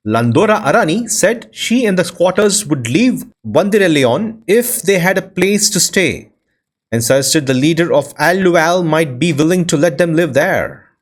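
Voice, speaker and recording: Husky, lively man narrating his game. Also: on the high side. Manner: musing aloud, reading names off screen. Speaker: video game player and host. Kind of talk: casual let's-play commentary. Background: plucked-string game music.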